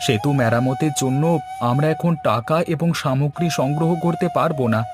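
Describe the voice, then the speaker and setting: A man's voice: mid-range voice, normal speed, music in the background, close to an everyday voice. A man voicing a concerned, earnest village man who explains things in scripted cartoon dialogue. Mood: worried. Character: concerned village man urging neighbours to work together.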